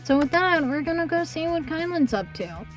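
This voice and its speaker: A woman's voice: high voice, sing-song, moderate pace, faint music behind. A woman streaming a game live, hesitant and self-deprecating as she talks to her chat while losing her voice.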